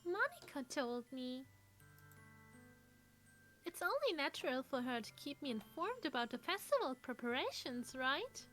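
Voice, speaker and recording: A woman voicing a gentle teenage schoolgirl in a visual novel, cheerful on the surface and quietly sad, with music behind her. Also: sits high, big pitch swings, unhurried, full character voice. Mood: subdued, probing.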